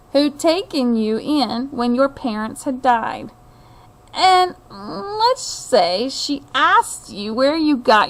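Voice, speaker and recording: A middle-aged woman reading a children's picture book aloud, with expressive storytelling, deliberate phrasing and asides to her listeners. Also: high-pitched. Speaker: read-aloud host.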